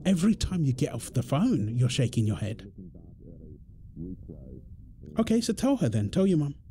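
A man's voice: mid-range voice, sing-song, moderate pace, dark tone, slight background noise, natural voice. A British man playing an exasperated, defensive husband in an audio roleplay, arguing in an insistent, repetitive way. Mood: pleading, frustrated. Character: exasperated, defensive husband and father quarrelling indignantly. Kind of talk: dramatic one-sided argument roleplay.

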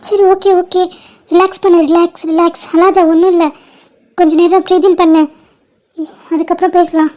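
A woman's voice: very high voice, little pitch movement, moderate pace, pauses often, warm tone. A woman playing a caring elder sister who speaks with a Tamil accent, anxious and comforting. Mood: worried. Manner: worried, comforting and scolding. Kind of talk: short-film drama acting.

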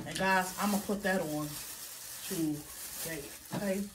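A middle-aged woman talks her viewers through a grocery haul in a home kitchen, chatty and loose, with frequent "okay?" asides. A phone camera sits a few feet off on the counter, so her voice sounds distant. Kitchen noise and sizzling come through clearly behind her. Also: on the low side, a little slow. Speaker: vlogger. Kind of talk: casual grocery haul vlog.

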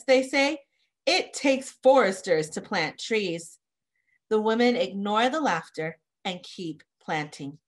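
A woman in her thirties reading a children's picture book aloud, phrasing it carefully and simply. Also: mid-range voice. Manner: careful phrasing, pausing to explain words simply. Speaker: read-aloud presenter for children. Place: small indoor room, home office.

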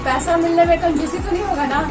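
A woman's voice: extremely high pitch, fast talker, recorded from a distance, music in the background, crowd noise. A young woman chatting casually and teasingly as host of a family vlog. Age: young adult. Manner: playful, teasing. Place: living room at home.

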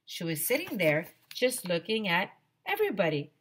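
A woman's voice: mid-range voice, very animated, moderate pace, a bit bright, husky. A middle-aged woman, a teacher reading a children's storybook aloud expressively into a headset mic. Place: small decorated room indoors.

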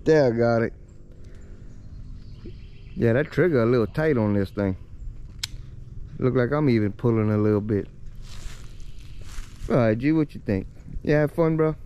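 A man's voice: medium pitch, a little slow, long pauses, dark tone, muffled recording, a little hiss or hum. A man, talking casually to his son and his viewers in an easygoing vlog filmed outdoors on a handheld camera. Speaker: vlogger. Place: outdoors on a bayou.

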